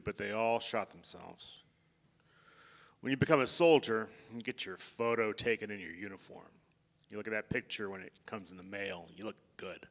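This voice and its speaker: A man playing a grave, weary army general in a live staged reading, speaking in measured, declamatory phrases. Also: medium pitch, bright, forceful tone. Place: theatre stage before an audience. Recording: live theatre stage, long hall reverb.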